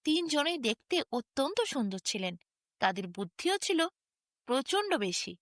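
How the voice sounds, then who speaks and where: A woman's voice: sits high, very animated, moderate pace, no echo, studio quality. A woman narrating a fairy tale as a bedtime story, with a sing-song storytelling delivery. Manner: sing-song storytelling with wide rises and falls.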